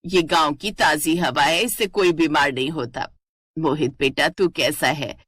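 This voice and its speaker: An older village mother-in-law in an animated moral tale, acted by a woman narrator. Her voice sits low and close with no room sound, patient and proud, able to stand firm. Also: unhurried, slightly rough, dry recording, exaggerated voice. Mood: caring.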